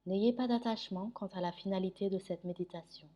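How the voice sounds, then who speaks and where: A woman guiding a sleep meditation in French, giving soothing, gentle instructions with long pauses. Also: mid-range voice. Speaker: yoga nidra meditation guide.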